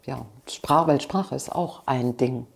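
A woman's voice: rumbling low, slow pace, creaky, husky. A woman, answering interview questions frankly and with passion, seated in a living room. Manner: passionate, frank, with restarts and asides. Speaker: interview guest.

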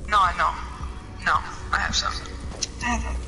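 A teenage girl chatting while she plays, often puzzled and distracted, with a run of quick exclamations. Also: fairly low pitch. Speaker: gamer on voice chat. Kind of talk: casual game chat. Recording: game music playing clearly behind. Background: game music.

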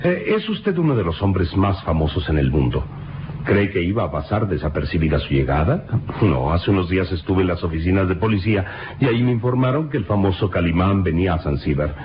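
A noisy, dull old radio recording carries a very deep male voice. a big, hearty older colonel, grandiloquent and jovial, in serial-drama style. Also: very animated, a little fast. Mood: jovial, admiring.

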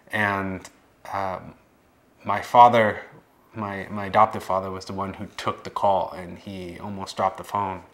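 A young American man in his late twenties speaks in a low voice with very little melodic movement. He tells his own story to camera in a plain, measured manner, pausing and feeling for phrases as he goes. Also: fairly bright tone. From Boston area, United States. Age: late 20s. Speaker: documentary subject telling his life story. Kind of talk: personal testimony to camera. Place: living room, indoors.

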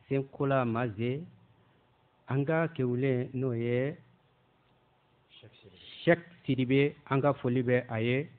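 A man hosting a radio show, greeting listeners and giving a measured, formal invocation. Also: medium pitch. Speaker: radio host. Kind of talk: radio show intro and blessing. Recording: phone-like lo-fi radio sound.

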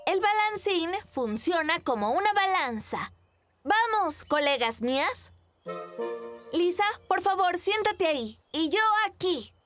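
High-pitched earnest little beaver boy. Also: sing-song, takes it slowly, a bit bright, faint music behind, exaggerated voice. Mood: earnest, bossy. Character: earnest bespectacled little boy beaver, science-minded, quick to protest. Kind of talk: children's cartoon dub.

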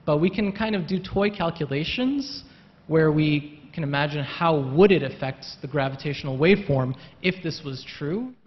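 A man's voice: fairly high pitch, animated, moderate pace. Man explaining physics in a reverberant hall. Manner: explanatory, hedging with "kind of" and repeated words. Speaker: panelist. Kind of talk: panel discussion answer. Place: lecture hall. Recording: muffled hall recording with long reverb.

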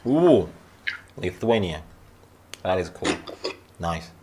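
A man in his twenties from Indonesia, hosting a casual live video chat with strangers in a small room, relaxed and joking. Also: takes it slowly, background noise. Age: 20s. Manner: relaxed, joking, low-key, trails off quietly. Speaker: livestream host. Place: small room with shelves and gaming chair.

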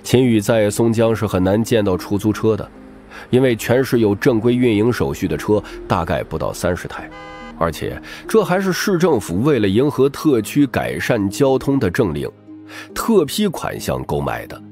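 A man reads a novel aloud in a low voice. His delivery is dramatic and moves up and down a lot, in the manner of a storyteller, with faint music behind him. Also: big pitch swings, moderate pace, a little mellow. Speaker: audiobook narrator. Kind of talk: scripted novel narration.

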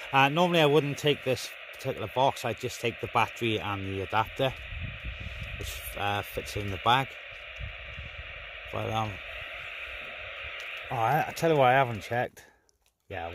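A man talks informally to camera as a hobbyist vlogger, in a mid-pitched voice. He pauses and hesitates while handling his equipment. The sound comes from an outdoor garden with clear noise behind him. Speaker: amateur CB radio hobbyist filming a vlog. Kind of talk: casual hands-on vlog commentary. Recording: noisy outdoor phone-camera recording.

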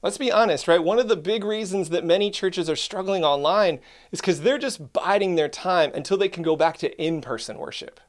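Earnest animated man talking to camera. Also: sits high, fairly quick, hardly any pauses. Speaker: church ministry vlogger. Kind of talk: scripted talk to camera.

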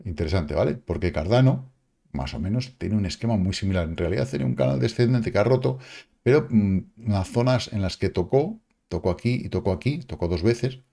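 A man, casually commenting on crypto charts in a lively, slangy way, with exclamations and sound effects, recorded at a home desk. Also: lowish voice, a little fast, vocal fry. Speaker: crypto market analyst. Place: home studio, indoors. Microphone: webcam framing, seated at desk.

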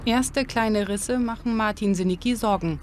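A woman narrating a history documentary in a calm, informative and lightly playful manner, and also reporting on camera. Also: medium pitch, normal speed. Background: music.

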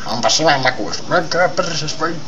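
A man voicing a panicked, shouting dog in an amateur cartoon fan dub, loud and frantic. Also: fairly high pitch, animated, pitched above the performer's own voice, lightly acted. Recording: muffled lo-fi home recording, loud television noise.

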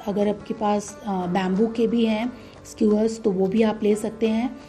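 A woman giving calm, step-by-step cooking instructions as a voice-over, with frequent "uh" fillers. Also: mid-range voice. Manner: calm, instructive, many "uh" fillers, mixes English words into Hindi. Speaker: cooking channel host. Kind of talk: recipe voice-over while cooking.

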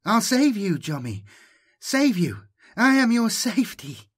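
A man's voice: sits high, big pitch swings, a little slow, fairly bright tone, breathy edge, pitched above the performer's own voice, exaggerated voice. Man voicing an ancient moaning, seductive robot mind. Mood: yearning, pleading. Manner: moaning, droning, hypnotic.

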